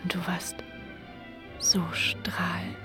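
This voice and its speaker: An actress playing a quiet, tender-hearted young woman prisoner in a radio drama, hesitant and soft-spoken. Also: slow, in a whisper, over music, natural voice. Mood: tender, wistful.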